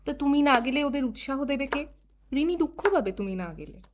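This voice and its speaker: A woman's voice: sits high, expressive, moderate pace. An actress playing a feisty older wife in an audio drama, nagging her husband with affectionate sarcasm.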